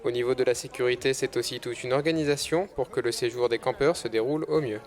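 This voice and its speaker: A man narrates a regional news report in a steady, scripted manner. His voice sits at a medium pitch and the delivery is even and measured.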